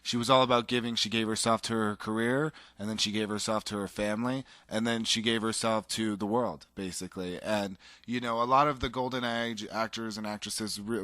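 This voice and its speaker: A man's voice: fairly low pitch, sharp tone, dry recording. A man co-hosting a casual chat show, talking enthusiastically and rambling with fillers and restarts. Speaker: podcast co-host.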